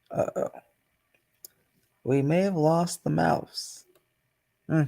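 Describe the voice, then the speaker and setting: Creaky-voiced man hosting a casual livestream. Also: mid-range voice. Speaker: channel host.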